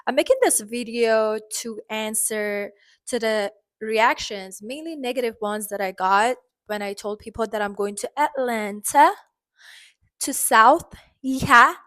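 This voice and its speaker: A woman from Iran with an Iranian accent, speaking English as a second language, chatting expressively in a casual vlog full of fillers and sudden pitch jumps. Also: unhurried, a bit bright. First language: Farsi. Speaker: vlogger.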